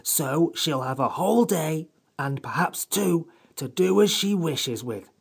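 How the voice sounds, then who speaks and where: A man voicing a grumpy, sarcastic sand fairy creature in an audiobook, with a slow, pompous, withering drawl. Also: fairly high pitch, animated, gravelly, slightly rough, voice pushed up, exaggerated voice. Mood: withering sarcasm. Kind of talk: audiobook character voice.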